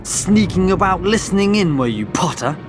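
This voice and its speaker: A man's voice: high-pitched, animated. A man with a British accent voicing a malicious, taunting teenage wizard bully in a video game. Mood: gloating. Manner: taunting, drawn-out delivery. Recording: music behind the voice.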